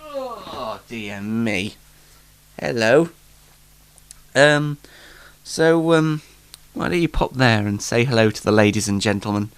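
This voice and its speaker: A British man hosts his own homemade talk show alone at the microphone, with a slightly blocked nose. His pitch jumps around in an animated way as he rambles without a script, poking fun at himself and sounding mock-exasperated. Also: slow pace, pauses often, clear, resonant tone. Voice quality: congested, bunged-up nose. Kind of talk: casual, unrehearsed solo show chat. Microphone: microphone, speaking alone.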